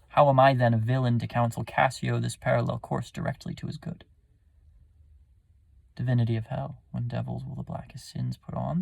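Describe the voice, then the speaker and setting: A man playing a smooth, scheming villain in a theatrical, deliberate Shakespearean soliloquy. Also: mid-range voice, a little mellow, dry recording. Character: scheming, smooth male villain plotting ruin.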